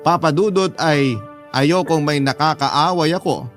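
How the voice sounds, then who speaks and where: A man's voice: a little high, unhurried. A man reading a dramatic scripted story as a storyteller. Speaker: storyteller reading a listener's letter. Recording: music behind the voice. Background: background music.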